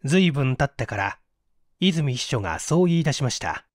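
A man's voice: medium pitch, big pitch swings, normal speed, no room sound, studio quality. A man narrating a literary mystery story in a dramatic audiobook style. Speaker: audiobook narrator, stage performer.

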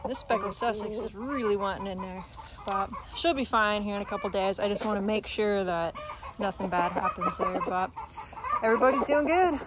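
A young woman with a mid-range voice talks casually into a phone held at arm's length. She is outdoors on a farm, and clucking hens and roosters are clearly heard behind her. Now and then she coaxes her birds in a softer voice. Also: medium pitch, moderate pace. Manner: chatty, talks to her chickens in a soft coaxing voice. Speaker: homestead vlogger. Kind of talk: casual vlog narration. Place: outdoors on a farm among chickens. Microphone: phone camera held at arm's length. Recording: outdoor phone audio with chicken noise. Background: clucking chickens and roosters.

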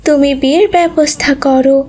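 A devout young elder sister in a cartoon morality tale, played by a woman. Her high voice stays even as she speaks earnestly and firmly, over faint background noise. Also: fairly quick, close to an everyday voice. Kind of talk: cartoon story dubbing.

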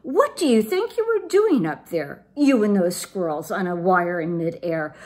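A woman's voice: on the high side, sing-song, a little slow, breathy edge, exaggerated voice. Lady doing a worn out mama cat scolding her kitten, storybook read aloud, really up and down. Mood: scolding, alarmed. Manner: exasperated, scolding, groaning.

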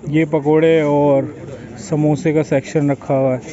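A young man giving a relaxed, chatty walkthrough of a buffet, filming himself on a phone at arm's length. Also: on the high side, a little slow. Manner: relaxed, chatty, pointing things out as he walks. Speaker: food vlogger. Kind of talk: casual walkthrough vlog. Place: outdoor buffet at a waterfront restaurant. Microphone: phone camera held at arm's length. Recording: dull lo-fi sound with crowd chatter.

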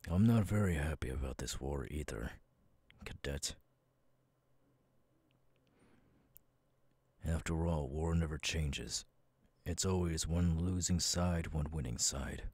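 A man voicing a stern, weary, guarded military commander in an ASMR audio roleplay, husky and brooding. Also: very deep voice, measured pace, a little mellow, dry recording, exaggerated voice. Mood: bitter resolve. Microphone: close, dry microphone.

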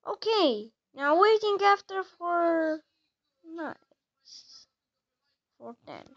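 Young female streamer, halting self-talk, unhurried, thin home-mic sound. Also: very high-pitched, very animated, dull audio. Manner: halting, talks to herself while playing. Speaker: gamer playing a horror game. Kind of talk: casual let's-play commentary.

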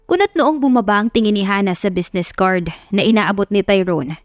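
A woman's voice: mid-range voice, brisk, clean studio sound. A woman narrator reading a romance story in a dramatic scripted style. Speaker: audiobook narrator. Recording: roomy echo, music bed behind.

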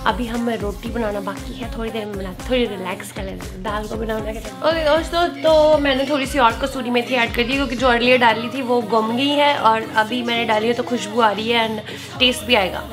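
A woman's voice: a little high, steady tone, brisk, music in the background. A woman, chatting casually and instructively as she narrates a recipe, switching in English words. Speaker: cooking vlog host. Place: home kitchen, indoors.

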